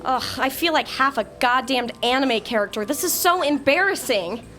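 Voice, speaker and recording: A woman playing an exasperated, sharp-tongued young woman in a comedic audio drama. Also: high voice, normal speed, faint music behind. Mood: mortified.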